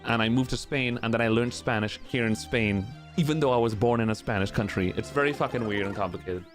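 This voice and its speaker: A man's voice: medium pitch, fast, music in the background. A man in his thirties, raised in Trinidad, who speaks with an adopted American accent. He chats casually with his viewers while playing a game, sarcastic and often profane, with frequent restarts. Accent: American, adopted over years of streaming. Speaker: streamer and speedrunner. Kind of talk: casual chat while gaming and reading chat.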